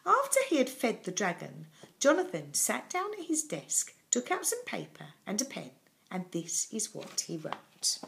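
An older woman reading a children's story expressively and dramatically, with deliberate pauses, in a small room at home. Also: mid-range voice, wildly swooping pitch, vocal fry, fairly bright tone, slight background noise.

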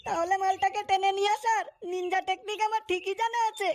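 A woman's voice: very high voice, steady tone, brisk. A woman voicing a brash, boastful, foul-mouthed male amateur detective in a comic cartoon. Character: cocky, foul-mouthed adult male self-styled detective.

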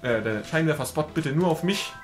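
Laughing co-player over game music. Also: male voice, fairly high pitch, expressive, fairly quick. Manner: laughs often, teasing. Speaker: co-commentator. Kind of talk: casual gaming commentary.

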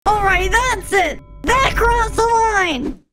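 Man as a shrill, bossy cartoon admin girl. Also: animated, slow pace, exaggerated voice. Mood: outraged. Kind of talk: cartoon animation voice-over.

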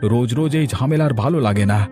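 A man voices a fed-up younger brother from a village cartoon. His tone is dark and his delivery firm and reproachful. Faint music sits underneath. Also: medium pitch, a little fast, natural voice. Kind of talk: cartoon voice acting.

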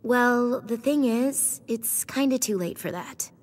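A woman's voice: fairly high pitch, slow. An actress playing a young, lethal, self-assured girl assassin in a video game, blunt and devoted. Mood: matter-of-fact. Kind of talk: video game cutscene acting. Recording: game audio with faint ambient noise.

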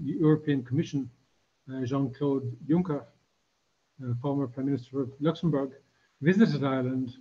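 Older male lecturer, breathy, measured, lots of restarts; dull far-camera sound. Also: fairly high pitch. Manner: measured, many restarts and fillers. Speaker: guest lecturer. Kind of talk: formal lecture with anecdotes. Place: embassy room with curtained windows.

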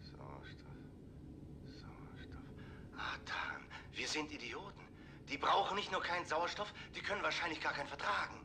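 An actor playing a determined, middle-aged astronaut in a 1960s German television science fiction drama, urgent and often breathy. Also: male voice, fairly high pitch, wildly swooping pitch, normal speed, background noise, full character voice. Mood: grim, hushed. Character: grim, determined male astronaut trapped on an overrun station. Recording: 1960s TV soundtrack with loud music.

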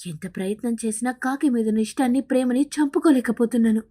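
A woman voicing a lovesick, bossy female pigeon in an animated bird fable, insistent and emphatic. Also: on the high side, fast, lightly acted.

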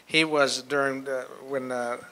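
An older man casually telling anecdotes to an audience, jocular and a little halting. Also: medium pitch, fairly even intonation, pushed and bright, poor audio. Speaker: panel speaker answering questions. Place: hotel conference room with audience. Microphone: handheld microphone.

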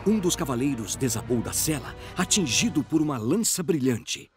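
Expressive Brazilian man narrating dramatically. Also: mid-range voice, moderate pace, over loud music. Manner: solemn, theatrical. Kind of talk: dramatic scripted story narration.

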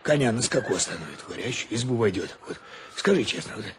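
A flustered, fatherly sea captain from a radio play. His voice is on the low side and he stumbles and repeats himself like a well-meaning uncle. The recording has clear background noise. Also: very animated, quick pace. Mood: lecturing, fatherly. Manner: hesitant, repeating words. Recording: noisy radio drama recording.

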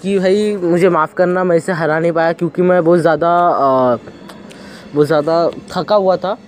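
A man's voice: on the high side, steady tone, normal speed, slight background noise. A young man casually commenting on his playthrough as a gaming host, thinking aloud as he goes. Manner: chatty, thinks aloud while playing. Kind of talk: casual let's-play commentary.

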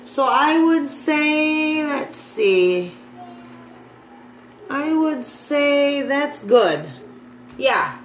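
High-pitched woman chatting in an echoey kitchen. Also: very animated, very slow, long pauses. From the Bronx, New York, USA. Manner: informal, addressing viewers as guys, unscripted. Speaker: home cook vlogger. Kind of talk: casual cooking demonstration, chatty. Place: home kitchen, indoors. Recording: echoey room, clear background noise.

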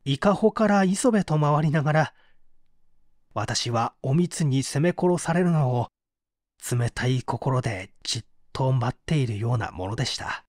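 A man playing a tormented suspect, slow and confessional. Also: medium pitch, expressive, no echo, studio quality, close to an everyday voice. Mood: anguished, resigned. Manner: deliberate, dramatic, confessional. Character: tormented male murder suspect confessing, weary and fatalistic. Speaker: voice actor reading a story. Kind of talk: dramatic audio reading of a mystery.

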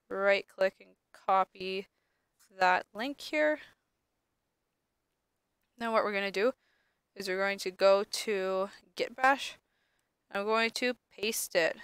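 A young woman lab instructor, sniffly from allergies, talking casually through a screen-recorded software tutorial with many ums. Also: mid-range voice, smooth, no echo.

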